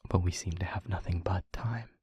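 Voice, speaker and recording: A man playing a weary demon in an intimate audio roleplay, speaking earnestly and haltingly in a breathy half-whisper. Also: deep voice, fairly even intonation, darkish tone, dry recording, highs cut off. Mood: tender, careful. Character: weary male demon confiding a painful truth. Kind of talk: scripted audio roleplay, intimate monologue to listener. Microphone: close microphone, dry.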